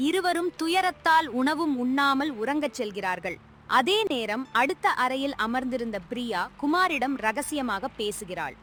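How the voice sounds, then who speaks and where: A woman's voice: sits high, a bit bright, a little hiss or hum. A woman narrator telling a scripted story in a dramatic style.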